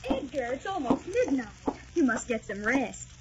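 A woman's voice: high voice, big pitch swings, moderate pace. A woman playing a sweet, devoted young woman in love, tender and earnest in a melodramatic 1940s radio style. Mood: gentle. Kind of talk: 1940s radio drama acting. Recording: muffled, noisy vintage radio recording.

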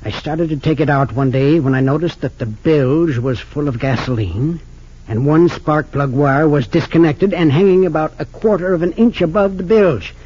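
Husky, suspicious old rich man. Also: fairly high pitch, mellow. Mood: bitter, suspicious. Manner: hesitant, earnest, slightly halting. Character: wealthy, suspicious 68-year-old father, bitter about his sons. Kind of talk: 1940s-50s radio drama acting. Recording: dull lo-fi old radio recording.

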